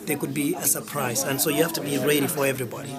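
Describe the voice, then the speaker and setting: An earnest man in his late thirties answers interview questions at an outdoor event. He rambles through many fillers with a voice of middle pitch. A loud crowd roars all around him. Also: quick pace. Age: 30s. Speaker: interviewed athlete. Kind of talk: casual interview. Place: outdoor event before a race. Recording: loud crowd noise behind.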